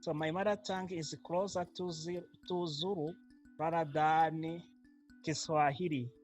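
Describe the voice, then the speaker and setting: Rwandan-accented man, Kinyarwanda native, unhurried and measured delivery over soft music. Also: on the high side, steady tone. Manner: slow, measured, with frequent fillers. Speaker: interviewee. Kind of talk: casual interview.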